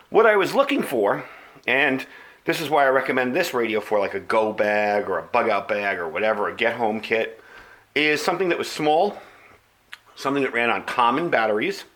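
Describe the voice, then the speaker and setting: A man with a bright, forceful voice at a middle pitch presents a product to his viewers. He speaks casually and conversationally, explaining things plainly as he goes. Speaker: video channel host. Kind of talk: casual product review to camera.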